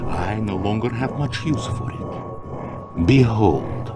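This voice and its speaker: An actor playing a menacing, theatrical Soviet general in a video game, pompous and declamatory. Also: male voice, fairly low pitch, expressive, slow. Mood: gloating. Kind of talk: video game villain monologue. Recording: music behind the voice.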